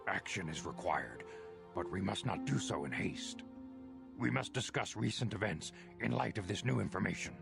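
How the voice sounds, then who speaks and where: A grave elder Jedi master in a video game. His very low, gravelly voice rises and falls widely as he speaks with formal, measured authority. Music plays clearly behind him. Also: lively intonation, exaggerated voice. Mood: solemn, concerned. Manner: formal, solemn, measured oratory. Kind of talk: video game cutscene dialogue.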